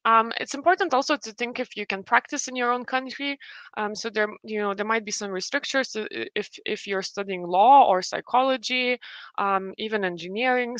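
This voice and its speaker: A woman's voice: medium pitch, fairly bright tone, no room sound. Lithuanian-accented woman presenting a webinar. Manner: friendly, halting, many fillers and restarts. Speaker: university representative.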